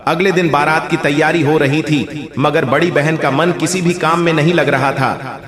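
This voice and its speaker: A man's voice: fairly high pitch, fairly even intonation, moderate pace, roomy sound, a crowd in the background. A man narrating a scripted moral folk tale as a storyteller.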